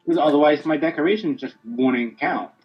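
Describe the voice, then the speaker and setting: A man's voice: a little high, normal speed, faint music behind. A man co-hosting a gaming chat, talking loosely with many "like" fillers. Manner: loose, filler-heavy speech. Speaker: gaming channel co-host. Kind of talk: casual chat about video games. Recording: dull lo-fi sound.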